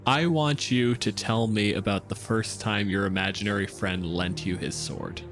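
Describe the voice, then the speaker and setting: A man's voice: on the low side, moderate pace, a bit bright, soft background music. A man in casual tabletop roleplay, talking in a blunt, wry and emphatic way. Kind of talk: casual, improvised tabletop game play.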